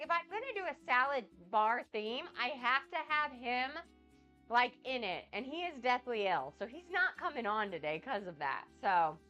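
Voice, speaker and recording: A woman's voice: sits high, bright, forceful tone, dull audio. A woman hosting a casual cooking livestream, chatting with viewers and answering chat while she cooks, a bit sniffly and nasal from a cold. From United States. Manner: chatty, rambling, reads out and answers chat comments.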